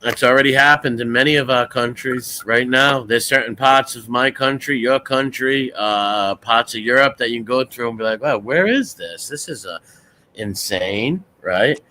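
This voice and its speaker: Blunt, bright-voiced man in his forties. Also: medium pitch, normal speed. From United States. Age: 40s. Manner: blunt, rhetorical, keeps asking "right?". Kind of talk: casual political chat.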